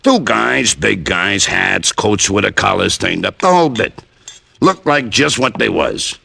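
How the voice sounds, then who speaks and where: Gravelly, surly dive bartender. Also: male voice, fairly low pitch, animated, measured pace, sharp tone, heavily acted. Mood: matter-of-fact. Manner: tough slangy street talk. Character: surly, greedy male dive-bar bartender. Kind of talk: old-time radio crime drama acting.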